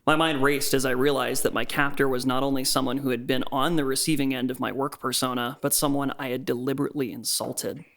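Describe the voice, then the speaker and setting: A man's voice: mid-range voice, little pitch movement, fast talker, creaky, hardly any pauses, a bit bright. An actor playing a frightened captive office worker, narrating his ordeal in the first person as an earnest, tense horror story. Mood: fearful.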